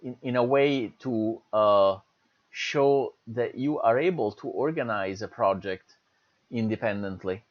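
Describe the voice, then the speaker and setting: A man's voice: medium pitch, muffled recording. A man with an Italian accent, Italian as his first language, giving an online lecture from home, enthusiastic and encouraging with many fillers. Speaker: university lecturer.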